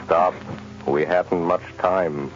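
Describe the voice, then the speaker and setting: An actor playing a sinister, mocking mystery avenger in an old radio drama, slow and menacing. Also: male voice, deep voice, steady tone. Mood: commanding. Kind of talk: 1930s radio drama acting. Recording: muffled old radio recording, loud music behind.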